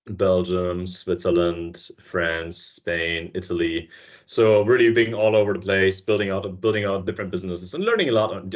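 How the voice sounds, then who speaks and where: A man guest on a podcast who explains his work fluently and step by step, in a relaxed, conversational way. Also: sits low. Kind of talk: casual interview chat. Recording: dull lo-fi sound.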